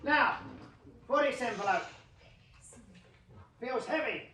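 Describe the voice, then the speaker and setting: Jittery medium, stammers and trails off, echoey distant sound. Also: male voice, extremely high pitch, expressive, sharp tone, heavily acted. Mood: hesitant. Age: young adult. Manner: hesitant, halting, stammering. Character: young male Victorian spirit medium, nervous and shifty. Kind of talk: 1980s radio drama acting.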